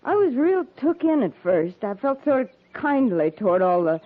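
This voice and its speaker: Bitter frontier widow, tinny radio. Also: female voice, on the high side, sing-song, normal speed. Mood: bitter, mocking. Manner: scornful, sardonic, rustic speech. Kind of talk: 1950s radio western acting. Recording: tinny, narrow 1950s radio recording.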